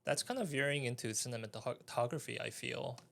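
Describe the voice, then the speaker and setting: A man with a mid-range, gravelly voice full of vocal fry interviews casually. He feels his way through questions and comments with measured, slightly hesitant phrasing. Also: medium pitch, a bit bright. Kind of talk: casual podcast conversation.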